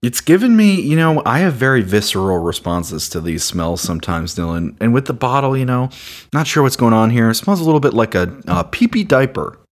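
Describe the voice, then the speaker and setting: A man co-hosting a casual beer review podcast, joking crudely with deadpan asides. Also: mid-range voice, fairly quick. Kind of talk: casual banter.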